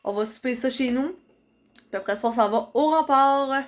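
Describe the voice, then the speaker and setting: A young woman with a Quebec French accent, chatting excitedly in a casual vlog while congested from a cold. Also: sits high, moderate pace. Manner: chatty and excitable, many fillers. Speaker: vlogger.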